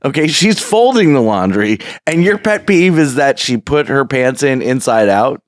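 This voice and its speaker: Teasing man with a lively voice. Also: medium pitch, normal speed, dry recording, clean studio sound. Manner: teasing, jokey interjections. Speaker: podcast co-host. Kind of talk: casual chat.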